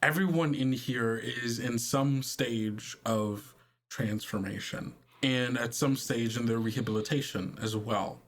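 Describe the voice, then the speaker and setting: A man running a tabletop roleplaying game as game master, narrating in a halting, conversational way with pauses. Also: medium pitch.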